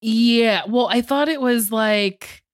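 A woman co-hosting a podcast in casual chat, slightly tipsy from drinking, frank and swearing freely with animated asides. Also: a little high, takes it slowly, dry recording, clean studio sound. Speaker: podcast co-host.

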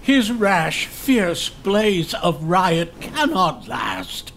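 A man playing a dying old nobleman, fervent and prophetic, speaking breathy, laboured verse in a Shakespearean radio drama. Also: sits high, huge pitch swings, very slow, a bit bright, slight background noise, full character voice. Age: older.

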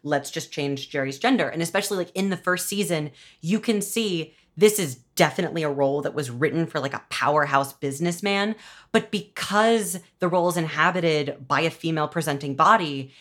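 A woman's voice: low voice, brisk. A woman talking casually and analytically about storytelling, informal and wry, peppering her speech with "like". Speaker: podcast interviewer and showrunner.

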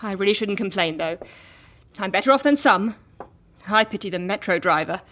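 A woman's voice: mid-range voice, animated, moderate pace, fairly bright tone. A woman playing an ordinary, chatty and apologetic character in an audio drama, speaking conversationally. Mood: mild complaint.

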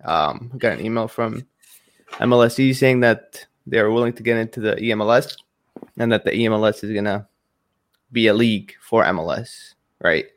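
A man from Iraq who moved to Canada, chatting casually as an interview guest, relaxed and colloquial with many filler phrases. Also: on the low side, normal speed. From Iraq, moved to Canada in 2003. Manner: relaxed, colloquial, frequent 'like' and 'you know'. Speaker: esports pro, interview guest.

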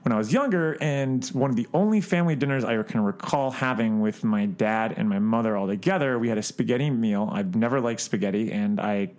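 Man, podcast co-host, medium-pitched voice, jokey, halting storyteller, casual chat. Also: a little mellow.

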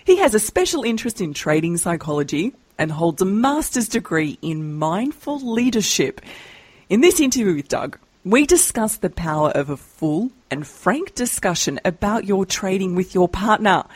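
A woman hosting a trading podcast, giving clear scripted intros. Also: mid-range voice, a little mellow, dry recording. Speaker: podcast host.

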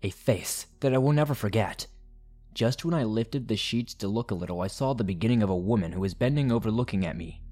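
Male narrator for scary first-person stories, lowish register, deliberate scripted read with soft music underneath. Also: animated. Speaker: narrator of listener-submitted horror stories. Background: background music.

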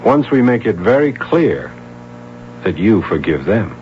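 An actor playing an earnest, persuasive police officer, insistent and reassuring, in a 1970s radio drama. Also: male voice, fairly low pitch, big pitch swings, measured pace. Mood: gently persuasive. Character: earnest male police officer, persuasive, gently courting a runaway. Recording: dull, noisy 1970s radio recording with hum.

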